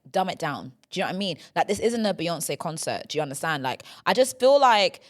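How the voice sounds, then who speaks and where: Young female podcast host. Chatty solo rant that leans on tag questions. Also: mid-range voice. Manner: chatty, tag questions after nearly every line.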